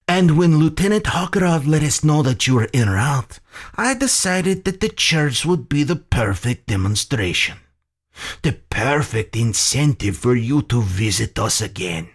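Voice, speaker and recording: Man acting an oily, gloating general, slow and menacing. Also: mid-range voice, big pitch swings, husky, voice pushed up, exaggerated voice. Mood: boastful. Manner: sultry, theatrical, deliberate.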